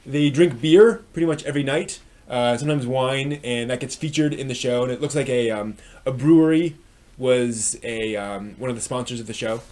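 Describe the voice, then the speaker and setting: A man hosting a live stream review, talking unscripted in a halting way, often repeating and rephrasing himself. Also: medium pitch, a little mellow. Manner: frequent throat clearing.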